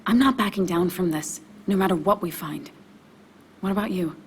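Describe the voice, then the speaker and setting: An actress playing an emotionally raw but determined young woman in a video game, speaking in a halting, confessional way. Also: on the low side, a little hiss or hum, close to an everyday voice. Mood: resolute, subdued. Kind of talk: naturalistic video game acting.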